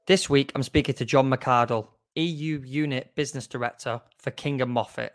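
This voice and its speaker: A man's voice: medium pitch, fairly even intonation, normal speed. A man from Manchester hosting a podcast interview, asking friendly, easygoing questions with some fillers. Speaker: podcast host.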